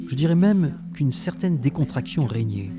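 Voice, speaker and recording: A man's voice: medium pitch, sing-song, moderate pace, natural voice. A man playing a former Resistance fighter, narrating his memories reflectively in a scripted audio drama. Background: music.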